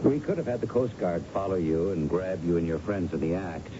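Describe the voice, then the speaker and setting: An actor playing a shrewd, confident police lieutenant who presses a suspect methodically and knowingly, in old-time radio drama style. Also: male voice, low-pitched, lively intonation, brisk. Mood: firm, knowing. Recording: muffled, noisy vintage radio recording.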